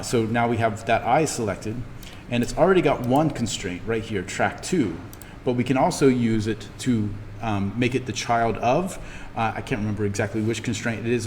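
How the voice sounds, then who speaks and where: A man teaching an introductory animation class, talking informally through software steps and thinking aloud as he demonstrates. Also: medium pitch. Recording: steady noise behind the voice.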